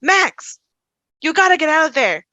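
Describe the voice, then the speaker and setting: Brief: woman as worried teen boy, high and bright, pleading, urgent, table-read drama. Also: very animated, normal speed, no echo, heavily acted. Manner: anxious, urgent. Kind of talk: fan table read acting.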